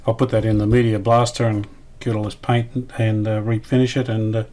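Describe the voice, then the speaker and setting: Male restorer, lowish voice, casual how-to commentary, tentative thinking-aloud delivery. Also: level tone, brisk, dark tone. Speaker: radio restorer presenting a repair series.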